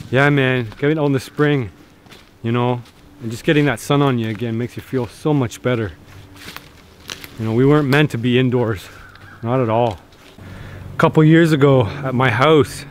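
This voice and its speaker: A man's voice: medium pitch, a little hiss or hum. A man, chatting casually to a handheld camera outdoors in the woods, easygoing and full of fillers and restarts. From Canada. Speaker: homesteading vlogger. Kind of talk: casual outdoor vlog talk. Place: outdoors in snowy woods and fields. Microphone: handheld camera at arm's length.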